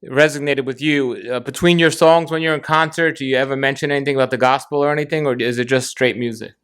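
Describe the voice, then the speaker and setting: A man's voice: medium pitch, fairly even intonation, fast, a bit bright, clear, resonant tone. A man hosting an interview show, asking rambling questions with fillers and closing with a channel outro. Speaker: show host. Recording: faint music behind.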